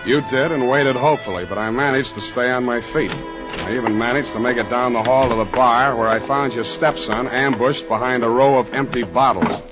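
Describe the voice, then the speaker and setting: An actor playing a hard-boiled, wisecracking private detective in a 1940s radio drama, blunt and wry, on a dull old recording. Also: male voice, medium pitch, normal speed, loud music behind the voice, lightly acted. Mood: wry narration.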